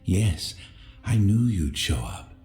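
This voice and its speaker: A self-assured know-it-all from a fantasy video game. His voice is low and dark, and he draws words out extremely slowly. It echoes, with faint music behind. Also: sing-song, heavily acted. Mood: smug, knowing. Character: knowing, self-important male captive who knows most things.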